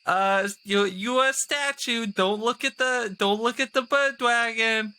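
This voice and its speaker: Brash frantic adventurer, played by a man, high, very bright, urgent, blurting, repetitive, tabletop roleplay. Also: measured pace, clean tone, exaggerated voice.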